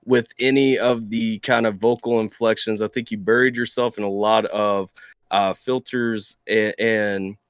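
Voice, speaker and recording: A man acting as guest judge, scoring a song in a halting way as he searches for his words. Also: lowish voice, steady tone, clean tone, dry recording, studio quality. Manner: hesitant, searching for words with fillers. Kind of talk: casual review chat.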